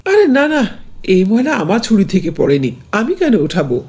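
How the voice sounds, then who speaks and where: Woman playing a husky, animated cartoon village man. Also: medium pitch, normal speed, exaggerated voice. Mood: brusque, irritated. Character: ordinary village men: teasing husband, brusque neighbours. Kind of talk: Bengali cartoon dubbing.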